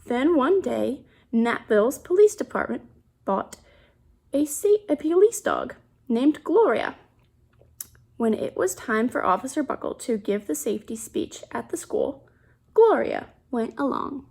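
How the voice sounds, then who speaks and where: A woman reading a picture book aloud to children, expressively, pausing to comment and ask questions as a teacher would. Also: sits high, big pitch swings, vocal fry, a little mellow, slightly rough. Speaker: children's storybook reader, teacher.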